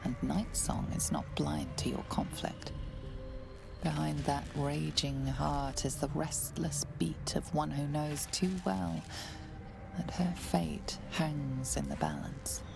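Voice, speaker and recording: A woman playing a grave, knowing narrator in a video game, measured and solemn. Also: sits low, steady tone, gravelly, over music, exaggerated voice.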